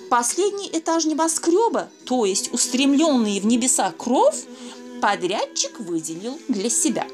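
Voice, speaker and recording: A woman reading a children's fairy tale aloud, expressive and speaking fondly to young listeners. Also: on the high side. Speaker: storyteller.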